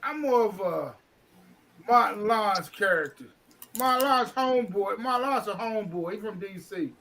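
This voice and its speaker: Bright-voiced joking man. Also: high voice, expressive, normal speed, a little hiss or hum, low-quality recording. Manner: joking, acts out quotes. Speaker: comedian panelist.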